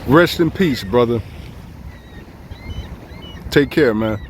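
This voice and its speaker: Male vlogger with a Southern Californian accent, mid pitch, relaxed and repetitive, recorded outdoors at the beach over faint surf. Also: takes it slowly, slight background noise. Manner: relaxed, rambling, repeats himself, chuckles often. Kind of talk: casual tribute vlog. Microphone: phone or camera filming himself.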